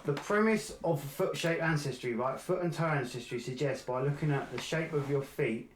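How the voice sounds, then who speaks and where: A man's voice: a little high, moderate pace. A young man co-hosting a casual podcast, rambling, swearing casually and laughing at himself. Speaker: podcast co-host. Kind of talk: casual banter among friends. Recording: echoey room with crowd noise.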